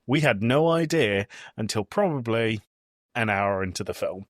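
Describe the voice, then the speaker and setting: A man hosts a casual film review podcast. His voice sits fairly low but moves around a lot in pitch, giving his storytelling an animated feel. The sound is dry, with no room echo. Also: normal speed. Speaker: podcast host.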